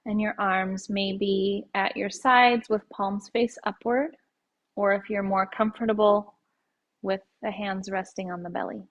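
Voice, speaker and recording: A woman leading a guided yoga nidra meditation in a soothing, unhurried way, letting her phrases end softly. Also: medium pitch, steady tone, muffled recording.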